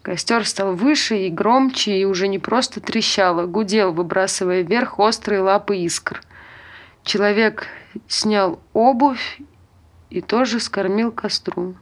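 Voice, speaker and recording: A woman reading scripted literary narration for an audio play, telling the story in measured short phrases. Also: mid-range voice.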